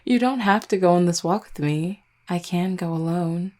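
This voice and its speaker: A woman playing an affectionate, gently teasing vampire girlfriend in an intimate roleplay audio, speaking tenderly and without hurry. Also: fairly low pitch, moderate pace, natural voice. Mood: teasing, coaxing. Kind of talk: intimate roleplay audio, soft conversational acting.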